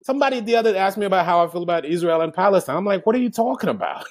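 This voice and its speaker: A man's voice: high-pitched, very animated, rapid-fire. A man from Haiti with a Haitian accent, a stand-up comedian talking casually and earnestly about his craft, with the odd curse word. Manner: reflective, earnest, swears casually. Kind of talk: casual conversation.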